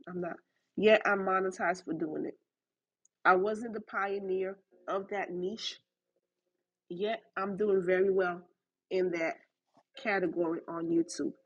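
A woman's voice: medium pitch, rough recording. A woman podcast host with a blend of Haitian and Southern US accent, giving emphatic motivational talk straight to her listeners and repeating her points for effect. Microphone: recorded through a voice chat app.

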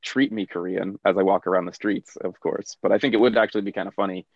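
A man's voice: sits low, fairly even intonation, quick pace, no echo. An American man co-hosting a casual podcast, talking frankly and self-mockingly with many restarts. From United States. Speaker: podcast co-host. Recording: dry, dull lo-fi mic.

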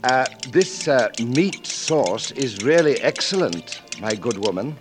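An actor playing a proper English manservant with a British accent, formal and politely stammering, in a 1940s radio drama. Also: male voice, medium pitch, big pitch swings, slow pace, loud music behind the voice. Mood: polite, dignified. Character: proper, deferential English manservant, hesitant but dignified. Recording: 1940s radio broadcast recording.

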